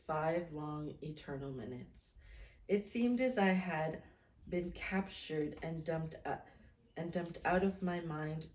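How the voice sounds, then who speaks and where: A woman's voice: deep voice, a little mellow. A woman in her thirties chatting casually and reading aloud in a self-mocking, storytelling way. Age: 30s. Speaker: vlog host. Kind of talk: casual chat and reading aloud from old journal. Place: small room indoors at a table. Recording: echoey room.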